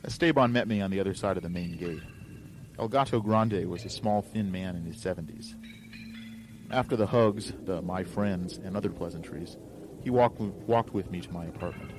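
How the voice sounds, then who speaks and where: Very low male voice, story narration, first-person fiction, clear background noise. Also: fairly quick.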